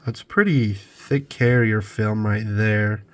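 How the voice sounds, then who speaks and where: A man's voice: lowish voice, takes it slowly. A young man with a cold, sounding congested, chatting casually through an unboxing at his hobby bench with plenty of ums and restarts. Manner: relaxed, rambling, lots of ums and restarts. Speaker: scale model builder. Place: home hobby workbench.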